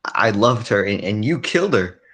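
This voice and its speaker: A man playing a quiet, lovelorn but stubborn circus man in a 1940s radio drama, hesitant and repeating himself. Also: lowish voice, very animated, normal speed. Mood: anguished, resolute.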